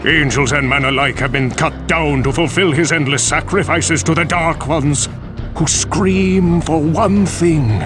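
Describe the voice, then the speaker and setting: An actor playing a grim teller of hellish lore in a fantasy video game, dramatic, deliberate and foreboding. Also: male voice, on the high side, music in the background, natural voice. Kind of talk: scripted dark fantasy game narration.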